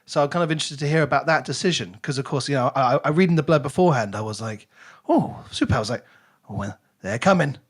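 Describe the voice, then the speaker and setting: A man's voice: mid-range voice, fast, slightly rough. Chatty man hosting an interview. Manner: chatty, wordy, rambling questions. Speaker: podcast host. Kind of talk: conversational interview.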